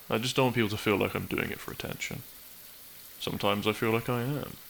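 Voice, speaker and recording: Casting: male actor as melancholy young best friend, gravelly, wry and halting, intimate roleplay audio with noticeable background ambience. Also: on the low side, fairly even intonation, fairly bright tone, slight background noise. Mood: subdued, vulnerable. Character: depressed young man, dry-witted, awkward, confessing to best friend.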